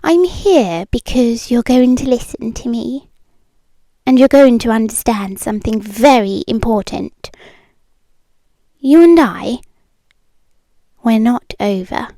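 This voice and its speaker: Slow, high-voiced British yandere girlfriend, acted by a woman. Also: dry recording. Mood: insistent, bitter. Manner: slow, deliberate, softly menacing, condescending. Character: possessive yandere ex-girlfriend, eerily calm, obsessive, cooing. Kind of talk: intimate ASMR roleplay, partly whispered. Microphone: close microphone, dry.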